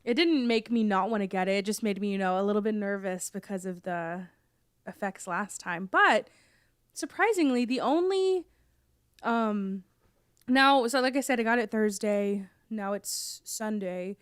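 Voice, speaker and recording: Hoarse young woman chatting, coughing. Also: mid-range voice, a little slow, no room sound, studio quality. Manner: rambling, lots of fillers and restarts. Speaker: podcast co-host. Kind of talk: casual chat.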